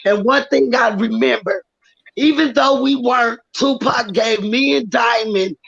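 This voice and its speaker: A woman in her forties with a raspy, worn voice, telling stories loudly and with animation in a casual live-stream chat. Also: on the high side, unhurried. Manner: loud, animated storytelling. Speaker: guest rapper. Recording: dull lo-fi stream audio.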